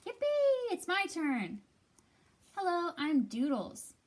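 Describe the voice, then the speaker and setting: A woman reading as an excitable, happy, artistic puffin in a picture book, with a bubbly manner. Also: very high voice, slow pace, voice pushed up, full character voice. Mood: excited.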